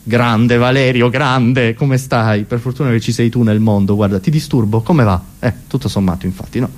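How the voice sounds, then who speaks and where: A man's voice: medium pitch, normal speed, darkish tone. A man performing a comic cabaret monologue in a rambling, self-interrupting, neurotic manner. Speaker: comedian.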